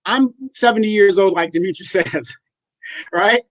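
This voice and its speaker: A man's voice: sits high, normal speed, a bit bright, dry recording. A man in his fifties co-hosting a live discussion, blunt and emphatic as he argues his point. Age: 50s. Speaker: co-host. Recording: dry, muffled lo-fi audio.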